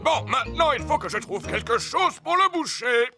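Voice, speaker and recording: A man voicing a self-important old rabbit boat repairer in a children's cartoon, raspy and hearty. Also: very high voice, very animated, normal speed, sharp tone, soft background music, exaggerated voice. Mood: proud. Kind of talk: animated children's cartoon dub.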